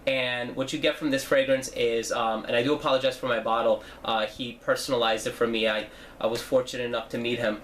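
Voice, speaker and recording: A man's voice: mid-range voice, little pitch movement, a little fast, a bit bright, slight background noise. A young man with a stuffy nose from a cold, hosting a casual fragrance review channel and talking in a chatty way with many fillers. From New Jersey. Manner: chatty, with frequent fillers and self-corrections.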